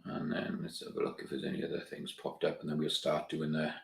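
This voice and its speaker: Deep-voiced Welsh man in an echoey room. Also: completely flat delivery, a little fast, creaky, a little hiss or hum. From Wales. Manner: chatty, rambling, addresses viewers as guys. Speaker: channel host. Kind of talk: casual live stream chat.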